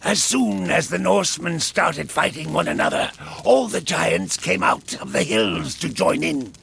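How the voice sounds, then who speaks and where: An actor playing a crafty old Norse wanderer in a game cutscene, theatrical and wheedling. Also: male voice, on the high side, sing-song, measured pace, creaky, fairly bright tone, slightly rough, exaggerated voice. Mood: theatrical, foreboding. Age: older. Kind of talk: video game cutscene acting.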